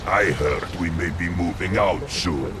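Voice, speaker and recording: A man playing a simple, blunt, loyal ogre soldier, quick to anger, in a science-fiction audio drama. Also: sits low, music in the background. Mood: earnest. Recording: noisy battlefield and vehicle sound bed.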